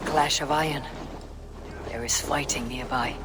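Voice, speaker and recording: A woman's voice: a bass voice, fairly even intonation, a little slow, heavy background noise. An actress playing a bold, vengeful Viking warrior woman in a video game, speaking in terse, commanding statements. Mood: watchful, muttering. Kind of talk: dramatic game dialogue.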